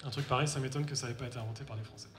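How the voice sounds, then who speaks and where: A man's voice: medium pitch, steady tone, rapid-fire. A young man from France telling a comic personal story on stage, wry and self-mocking, talking straight to the audience. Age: young adult. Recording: echoey hall with faint noise.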